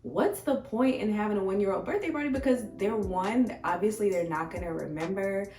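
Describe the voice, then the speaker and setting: An American woman with a slightly hoarse, raspy voice, chatting informally and expressively to her viewers in a casual life-update vlog. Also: mid-range voice, fairly quick, darkish tone. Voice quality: a little raspy. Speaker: vegan food vlogger and host. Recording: echoey room, distant mic, faint music.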